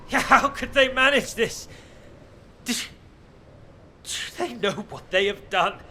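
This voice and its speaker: An actor playing an intense, scheming alien scholar in a video game, speaking in a formal, deliberate, theatrical way. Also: male voice, very high-pitched, pushed and bright, a little hiss or hum, full character voice. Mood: shocked, incredulous.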